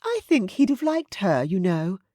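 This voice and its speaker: A woman narrator playing an older aristocratic mother in a romance audiobook. The voice is pitched up high, chatty and indulgent, with an imperious assurance. The recording is dry and close. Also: big pitch swings, unhurried, warm tone, no echo. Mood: fond, assured.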